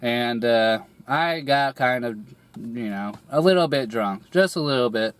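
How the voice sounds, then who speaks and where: A young man getting over a cold, his voice a little raspy, telling a personal story slowly with many pauses, fillers and throat clearing. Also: medium pitch, animated. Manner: hesitant, rambling, many fillers and restarts, clears throat often. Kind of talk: candid personal monologue.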